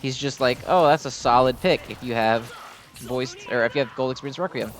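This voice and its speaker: A man's voice: medium pitch, fairly quick, music in the background. A man giving casual, unscripted gameplay commentary in a rambling, informal, self-deprecating way. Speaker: gaming video host.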